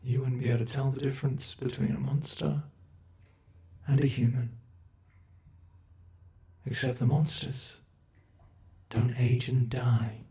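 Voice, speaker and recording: A man voicing an ancient, immortal monster under the bed, speaking in a slow, brooding, gravelly ASMR horror roleplay monologue. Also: medium pitch, level tone, dark tone, lightly acted.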